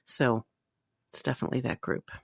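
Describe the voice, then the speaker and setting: A woman's voice: brisk, no room sound. A woman co-hosting a podcast in casual conversation. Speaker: podcast co-host. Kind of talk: casual chat.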